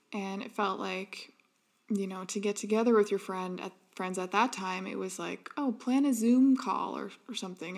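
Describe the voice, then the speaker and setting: A woman in her thirties hosting a podcast, telling a reflective personal story in an earnest, halting way. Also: medium pitch, gravelly. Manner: earnest, with frequent ums and restarts. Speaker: podcast host.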